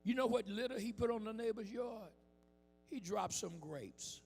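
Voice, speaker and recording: Hoarse, high-voiced preacher in a big hall. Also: male voice, animated, normal speed, faint music behind. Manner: preaching with call-and-response and shouted emphasis. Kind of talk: impassioned sermon. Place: church hall with music behind.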